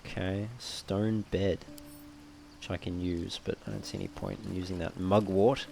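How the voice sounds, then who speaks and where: A man with a low voice and a creaky, fry-edged delivery commentates on a game. He talks casually, as a let's play host does, over faint background music. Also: fairly even intonation, normal speed. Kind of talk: casual gameplay commentary, reading item text aloud.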